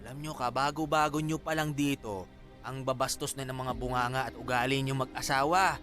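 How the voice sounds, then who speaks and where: An actor playing a menacing, mocking drunken thug who bullies and taunts in a radio drama. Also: male voice, mid-range voice, steady tone, brisk, over music, lightly acted. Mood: threatening. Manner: taunting, swaggering, punctuated with mocking laughter.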